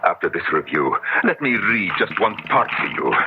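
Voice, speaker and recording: A man's voice: fairly low pitch, very animated, moderate pace, slight background noise. An actor in a 1970s radio drama playing an enraged, pompous male author of occult books with a menacing, theatrical manner. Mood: outraged, indignant. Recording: muffled old radio broadcast recording.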